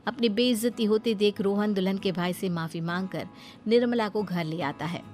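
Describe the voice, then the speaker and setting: A woman's voice: medium pitch, moderate pace, a little mellow, faint music behind. A woman reading scripted story narration.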